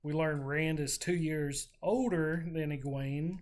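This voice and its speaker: A co-host casually recapping and discussing a book, telling the story in an informal, slightly halting way. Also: medium pitch, fairly even intonation, darkish tone. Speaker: podcast co-host.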